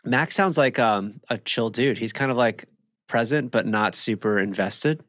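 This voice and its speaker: Male podcast co-host, casual and animated critic, mid-range voice, very dry close sound. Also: mid-range voice, measured pace, no echo. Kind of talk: casual chat and banter.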